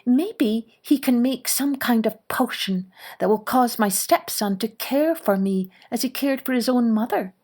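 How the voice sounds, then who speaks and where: A woman's voice: a little high, unhurried, few pauses, darkish tone. Storyteller as an earnest, pleading stepmother. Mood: earnest, hopeful. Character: earnest, desperate young stepmother seeking help.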